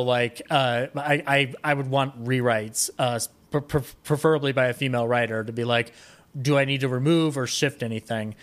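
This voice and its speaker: A man's voice: medium pitch, a bit bright. A man talking as a podcast guest in a casual, rambling storytelling style, with an enthusiastic film-buff manner.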